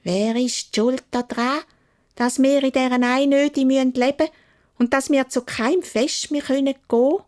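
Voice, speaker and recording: A woman's voice: high voice, fairly even intonation, moderate pace, darkish tone, pitched above the performer's own voice, close to an everyday voice. A woman storyteller with a Swiss German accent voicing haughty, greedy young sisters in a whiny, demanding way. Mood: aggrieved.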